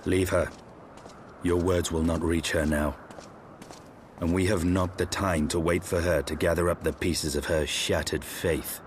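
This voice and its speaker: An actor playing a grim, brooding knight bent on vengeance in a fantasy game, speaking in solemn, archaic language. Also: male voice, low voice, fairly even intonation, measured pace. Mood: cold, fatalistic. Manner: archaic, solemn, formal speech. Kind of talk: dramatic fantasy game acting. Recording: faint background ambience noise.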